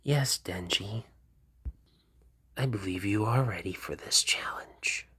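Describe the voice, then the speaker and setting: A man voicing a cold, commanding woman boss, speaking in a slow, hushed, breathy whisper for a fan-made cartoon. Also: fairly low pitch, dry recording. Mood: calm, ominous. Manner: slow, calm, ominous.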